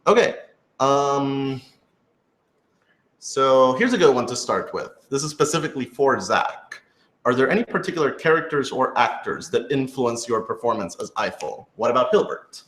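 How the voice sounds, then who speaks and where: Man, medium pitch, casual Q&A co-host, organized, dryly joking, echoey room. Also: expressive, normal speed, a bit bright. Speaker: co-host and producer of an audio drama. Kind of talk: casual live Q&A chat. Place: indoor room, on a couch. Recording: echoey, roomy sound.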